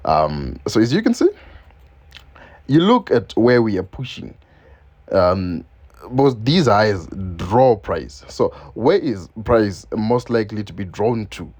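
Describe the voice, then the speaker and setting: Deep-voiced Zimbabwean man walking through charts. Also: sing-song, takes it slowly, a little mellow. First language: not English. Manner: loose and unscripted, many ums and uhs, repeats phrases. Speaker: forex trading educator. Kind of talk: screen-share market analysis.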